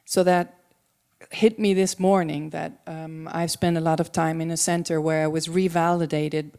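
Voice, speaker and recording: A woman whose first language is Dutch, sharing a personal reflection at a public panel in a considered, articulate manner with thoughtful pauses. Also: low voice, little pitch movement, darkish tone, clear, resonant tone. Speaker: panel participant. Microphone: handheld microphone.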